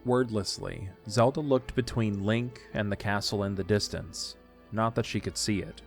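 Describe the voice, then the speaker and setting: A man's voice: fairly low pitch, normal speed, vocal fry. A man reading scripted narration for an audio drama story, with music behind him.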